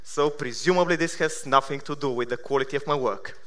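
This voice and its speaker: A man in his thirties from Bulgaria with a Bulgarian accent, giving a conference keynote with self-deprecating deadpan humor. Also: medium pitch, brisk. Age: 30s. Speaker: conference speaker. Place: large conference hall.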